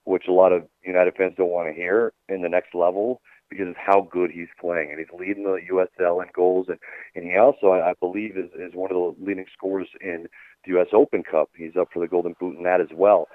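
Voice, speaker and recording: A man's voice: low-pitched, steady tone, a little fast, no echo. An emphatic man giving conversational, opinionated sports analysis as a team commentator in an interview. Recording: narrow phone-like sound.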